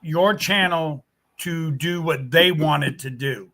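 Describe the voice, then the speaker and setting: A man's voice: on the high side, slow, pushed and bright. A man speaking emphatically and directly to listeners in a casual, motivational livestream chat. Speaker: YouTube creator, livestream co-speaker.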